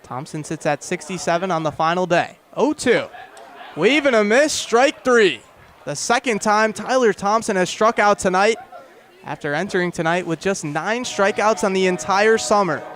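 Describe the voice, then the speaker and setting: A young man calls baseball live on the radio in a high-pitched voice. He speaks in short, clipped phrases and builds to excited calls as the play develops. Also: moderate pace, a faint crowd. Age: young adult. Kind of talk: live radio sports commentary.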